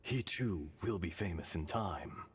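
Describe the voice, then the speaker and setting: Deep, slow, solemn ancient dragon. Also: male voice, animated, slightly rough, heavily acted. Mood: solemn, resigned. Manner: grave, measured, brooding. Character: ancient dragon in a man's body, solemn and weary. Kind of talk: video game voice acting. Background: music behind the voice.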